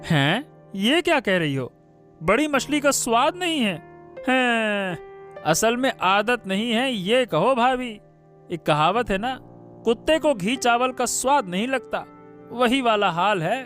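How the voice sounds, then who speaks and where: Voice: medium pitch, animated, takes it slowly. A performer plays a spoiled cartoon son who sneers at the new bride. His taunts come in a mid-range voice over faint music. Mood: taunting. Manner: taunting, sarcastic. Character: mocking young man of a rich family. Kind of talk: cartoon story dialogue. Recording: soft background music.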